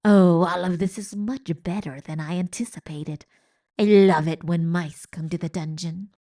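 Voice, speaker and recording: A woman's voice: fairly even intonation, moderate pace, dark tone, lightly acted. Botticelli, a woman voicing a gleeful jeering rat. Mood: gleeful mocking. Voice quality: booming and mocking, with cackling laughs. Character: gleeful cruel male rat, loud, mocking.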